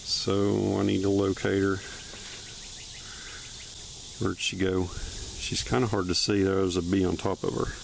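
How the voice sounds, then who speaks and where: A man casually narrating a hive inspection outdoors, with an unhurried, plain-spoken, matter-of-fact way of talking. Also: sits low, flat delivery, gravelly, a little hiss or hum. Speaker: beekeeper and video host. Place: outdoors among trees beside beehives.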